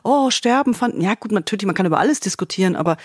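A woman's voice: mid-range voice, animated, rapid-fire, dry recording. Playful woman co-hosting, dry close sound. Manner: teasing, playful, interjecting. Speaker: podcast co-host. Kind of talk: casual podcast chat.

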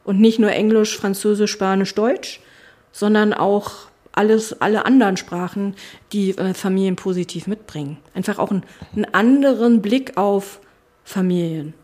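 Halting, thoughtful woman politician. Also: medium pitch, fairly even intonation, darkish tone. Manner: thoughtful, halting, many fillers and restarts. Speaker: politician, spokesperson for family and education. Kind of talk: casual interview talk. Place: her constituency office.

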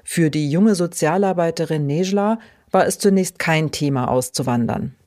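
A woman hosting a podcast, reading scripted narration in a clear, even way. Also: sits low, moderate pace. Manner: clear, even read-aloud delivery. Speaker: podcast host.

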